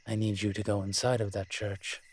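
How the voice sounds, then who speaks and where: A man playing a proud, flirtatious incubus demon professor in an intimate audio roleplay, husky and teasing, with hesitant, drawn-out pauses. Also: on the low side, little pitch movement, close to an everyday voice. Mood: wry, teasing.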